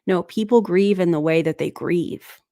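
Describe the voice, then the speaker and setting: A woman's voice: fairly low pitch, moderate pace, warm tone, dry recording, clean studio sound. A woman hosting a casual commentary livestream, reacting to a clip in a blunt, skeptical and sometimes sarcastic way.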